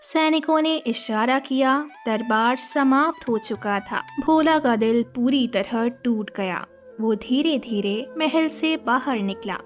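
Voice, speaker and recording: A woman narrating an animated children's story as a scripted storyteller. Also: fairly high pitch, takes it slowly. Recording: music behind the voice.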